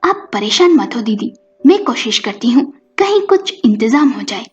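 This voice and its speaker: A woman's voice: on the high side, very animated, moderate pace. A woman storyteller voicing a kind, reassuring young sister-in-law in a family story. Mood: caring. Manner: reassuring, considerate. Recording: muffled recording with music behind.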